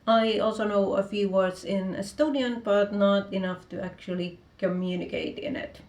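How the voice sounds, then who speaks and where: A woman's voice: mid-range voice, fairly even intonation, normal speed, darkish tone, reverberant, lo-fi sound. Finnish woman answering tag questions. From Finland. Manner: reads each question, then answers in short plain sentences. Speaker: author vlogger. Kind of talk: casual answers to a video tag. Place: home room with bookshelves.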